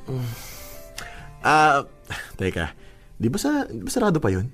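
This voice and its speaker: A man's voice: medium pitch, wildly swooping pitch, unhurried, fairly bright tone, soft background music. A man playing a shy, proud young boyfriend in a radio drama, speaking earnestly and hesitantly. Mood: agreeable. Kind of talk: Filipino radio drama acting.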